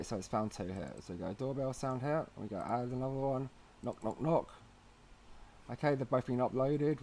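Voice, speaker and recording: A man's voice: medium pitch, mellow. A man hosting a how-to video, talking informally and chattily as he explains things step by step. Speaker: host of a how-to video series. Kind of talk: casual tutorial narration.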